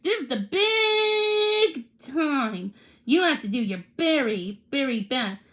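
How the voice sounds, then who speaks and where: A woman's voice: high-pitched, expressive, takes it slowly, fairly bright tone, heavily acted. A woman voicing an eager, encouraging young boy in a children's storybook, upbeat and coaxing in manner.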